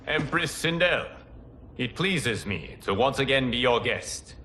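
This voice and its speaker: An actor playing a calm, wise male mentor figure in a video game cutscene, measured and courteous. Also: mid-range voice, slight background noise. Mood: serene. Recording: music underneath.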